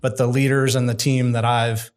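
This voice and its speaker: A middle-aged man speaks as a podcast guest, calm and measured, with a candid and thoughtful way of putting things. His voice sits at an ordinary middle pitch for a man. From United States. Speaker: construction executive guest. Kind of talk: conversational interview.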